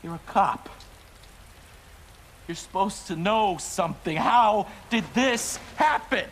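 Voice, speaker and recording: A man's voice: high voice, animated, slow pace, exaggerated voice. A frantic, swearing father. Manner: swings from shouting to hushed whispers, swearing. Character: desperate father guarding his infected little daughter. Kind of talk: video game cutscene acting. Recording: loud rain noise behind.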